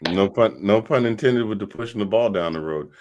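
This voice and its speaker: A man's voice: on the low side, brisk, a little mellow, dull audio, a little hiss or hum. Guy hosting a football chat, slight stutter, jokey about himself. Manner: slight stutter, joking and self-deprecating. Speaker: show host. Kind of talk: casual sports panel chat.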